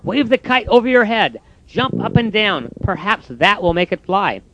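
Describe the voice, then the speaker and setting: A man's voice: high voice, sing-song, moderate pace, bright, forceful tone, no echo, highs cut off, pitched above the performer's own voice, heavily acted. A man voicing a patient, encouraging frog in a storybook, with an upbeat, coaxing manner.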